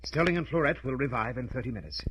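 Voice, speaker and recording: An actor playing a confident, decisive investigator leading a manhunt in a 1930s radio drama, clipped and authoritative. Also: male voice, mid-range voice, animated, quick pace, muffled recording, close to an everyday voice. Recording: noisy old radio transcription.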